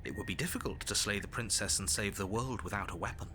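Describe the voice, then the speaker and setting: An actor voicing a stern, impatient narrator in a video game, with a measured, authoritative delivery. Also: male voice, fairly low pitch, pushed and bright, slight background noise. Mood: stern, dry. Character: stern male narrator, commanding, impatient, dryly insistent. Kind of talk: scripted second-person game narration.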